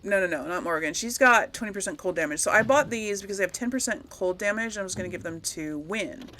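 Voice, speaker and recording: A woman's voice: medium pitch, fast, fairly bright tone, a little hiss or hum. A woman doing casual game commentary, rambling and thinking aloud as she talks to herself. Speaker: gaming video host.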